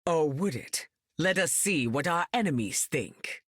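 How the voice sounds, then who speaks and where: An actress playing a regal, composed mutant heroine in a video game, with a stately, measured manner and dry wit. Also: female voice, low-pitched, animated, fairly bright tone, husky, no echo, full character voice. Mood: proud, wry. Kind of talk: video game character lines.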